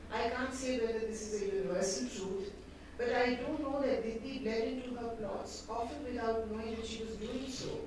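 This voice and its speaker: An older woman, a Hindi speaker, speaking in a measured, reflective way as an author in conversation. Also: a little high. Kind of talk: reading aloud then conversational talk. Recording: echoey hall picked up from far off with background noise.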